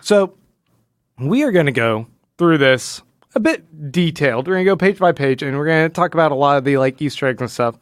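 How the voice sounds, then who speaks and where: A man's voice: a little high, lively intonation, brisk, dry recording, clean studio sound. A man co-hosting a podcast, talking casually about comic books in informal, slangy phrasing. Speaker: podcast co-host. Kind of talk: casual comic book discussion.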